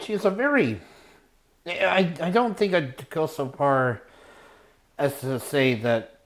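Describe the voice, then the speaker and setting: Slow, halting male storyteller. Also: medium pitch. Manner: halting, searching for words, trailing off. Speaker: storyteller, show host. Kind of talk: casual rambling personal anecdote.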